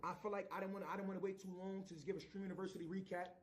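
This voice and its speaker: A male streamer rattles off greetings to his chat at high speed in a flat, narrow tone, pitched a bit high. The clip sounds distant, with faint ambience behind it. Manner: hyped, rapid, chant-like repetition.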